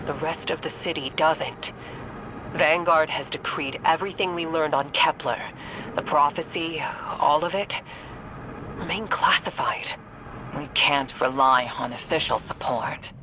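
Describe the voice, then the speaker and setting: Stern, guarded warrior. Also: female voice, low voice, noisy background. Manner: clipped, businesslike. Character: stern, dutiful female warrior, brisk and guarded. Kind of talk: video game cutscene acting.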